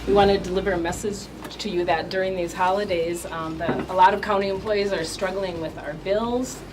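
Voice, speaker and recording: A woman's voice: medium pitch, steady tone, brisk, background noise. A woman county employee earnestly delivering a message. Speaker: county employee and union member.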